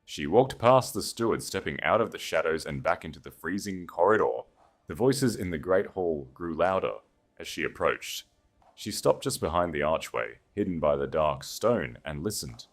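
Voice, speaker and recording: A man's voice: deep voice, very animated, moderate pace. A man reading a scripted romance audiobook in a solemn, dramatic way. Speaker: narrator.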